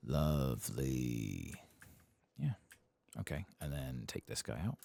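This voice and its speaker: Male ASMR gamer, very low creaky voice, soft muttered play-by-play. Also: big pitch swings, takes it slowly, no room sound. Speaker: ASMR gaming streamer. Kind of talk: soft-spoken ASMR game playthrough commentary.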